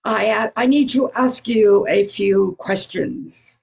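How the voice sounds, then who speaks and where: A woman's voice: mid-range voice, expressive, slow, vocal fry, darkish tone, husky, full character voice. A woman playing a huge, stolid alien police inspector with formal speech in an amateur radio play. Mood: businesslike. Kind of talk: amateur old-time radio play acting.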